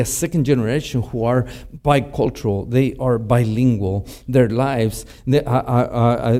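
Mexican-accented pastor, halting and mellow. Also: male voice, mid-range voice. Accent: Mexican Spanish. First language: Spanish. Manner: halting, many fillers and restarts. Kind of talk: teaching talk to a church audience.

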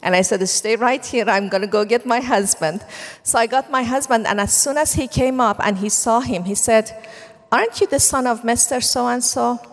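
A woman's voice: on the high side, normal speed. A middle-aged woman from Iran with a Persian accent, earnestly telling her life story to an audience, with plenty of fillers. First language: Farsi. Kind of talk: personal testimony talk. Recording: long reverb of a hall.

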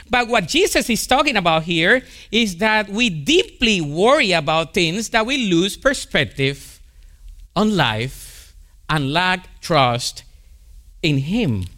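Lively high-voiced Colombian pastor. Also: male voice. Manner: emphatic, repeats key lines for emphasis. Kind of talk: sermon.

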